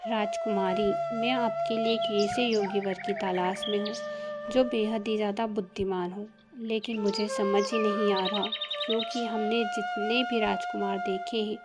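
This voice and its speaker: A woman's voice: medium pitch, steady tone, normal speed, close to an everyday voice. A woman voicing a worried, fatherly king in a cartoon moral story, speaking formally and earnestly. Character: worried fatherly king seeking a son-in-law. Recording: loud music behind the voice.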